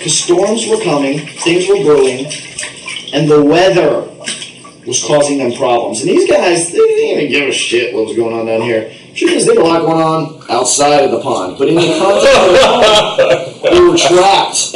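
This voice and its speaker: Animated man storytelling in an echoey bathroom. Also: a little high. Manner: playful, rambling, theatrical. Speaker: puppet show narrator. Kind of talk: improvised comic storytelling. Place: bathtub in a bathroom. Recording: echoey bathroom, distant noisy mic, water sounds.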